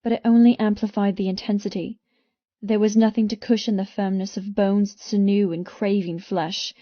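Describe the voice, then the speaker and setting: A woman reading first-person romance fiction as an audiobook narrator, with intense, expressive delivery. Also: medium pitch, normal speed, no echo, highs cut off.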